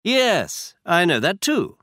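A man's voice: high voice, wildly swooping pitch, slow pace, bright, forceful tone, no echo, clean studio sound, pitched above the performer's own voice, full character voice. A man doing a self-assured detective. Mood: confident. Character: brilliant confident male detective. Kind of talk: audiobook character reading.

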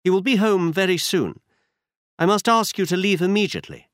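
A man's voice: high-pitched, expressive, fairly quick, a bit bright, clear, resonant tone, dry recording, studio quality, pitched above the performer's own voice. A man voicing a proud, distressed young noblewoman in an audiobook reading, indignant and pleading.

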